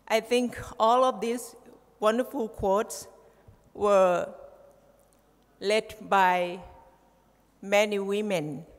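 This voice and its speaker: A woman in her forties from southern Thailand with a Thai accent, giving a formal conference speech at a podium through a headset mic, in a measured, halting way. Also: medium pitch. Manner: measured, halting delivery with restarts. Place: large conference hall, at a podium. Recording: reverberant hall.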